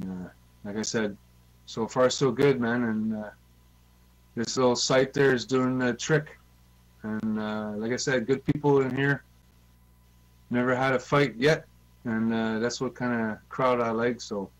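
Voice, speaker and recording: Easygoing man, medium pitch. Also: low-quality recording. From Ontario, Canada. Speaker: CB radio hobbyist. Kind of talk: casual chat. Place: indoors, online voice chat.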